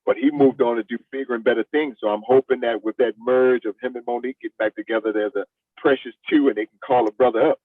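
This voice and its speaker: A middle-aged man from the United States talks casually as an interview guest. His speech is colloquial and conversational, at a middling pitch. He comes through small and phone-like, a little away from the mic, with very little room sound. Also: steady tone, fast, dry recording, recorded from a distance. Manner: colloquial, addresses listener as "man".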